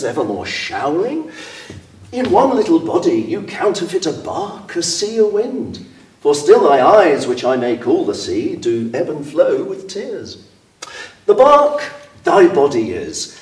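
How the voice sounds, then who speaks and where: Man acting a high-pitched, raging patriarch, echoey room. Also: sing-song, a little slow, darkish tone. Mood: mocking concern. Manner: scornful, explosive, declamatory Shakespearean delivery. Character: raging domineering father, older nobleman. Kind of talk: classical stage verse acting. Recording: roomy, echoing acoustic.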